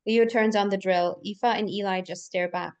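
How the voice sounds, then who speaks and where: A woman's voice: medium pitch, fairly even intonation, normal speed, a little mellow. A woman narrating screenplay directions in a live table read, occasionally stumbling and repeating words as she reads. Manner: stumbles and repeats words while reading aloud.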